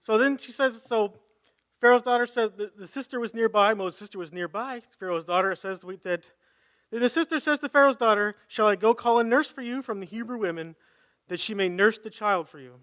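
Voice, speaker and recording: A man's voice: sits high, brisk, fairly bright tone. A man preaching a conversational sermon at a live church service, reading scripture and thinking aloud as he corrects himself.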